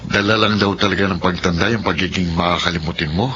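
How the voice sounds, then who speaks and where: An actor playing a playful, teasing and caring grown son in a Filipino radio drama. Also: male voice, low voice, steady tone, quick pace, pushed and bright. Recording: dull sound with faint background noise.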